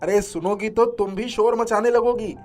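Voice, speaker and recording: A woman's voice: a little high, fairly even intonation, moderate pace, a little mellow, soft background music, natural voice. A woman playing a blunt working-class young husband in a dramatized moral story, speaking emphatically. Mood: excited, explaining.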